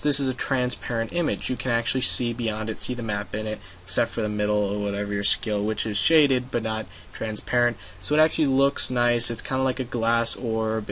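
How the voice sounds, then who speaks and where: Bright-voiced young man rambling through a tutorial. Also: mid-range voice, slight background noise. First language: English. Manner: rambling, hesitant with frequent ums and uhs. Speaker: game tutorial maker. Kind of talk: casual screen-recorded tutorial.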